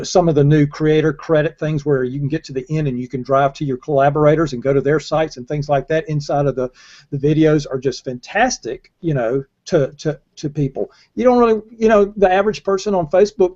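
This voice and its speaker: An older man talks informally as a panelist. His pitch is a little high for a man, and the audio is slightly dull, like a video call on earbuds. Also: warm tone. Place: indoor room on a video call. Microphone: earbud headset.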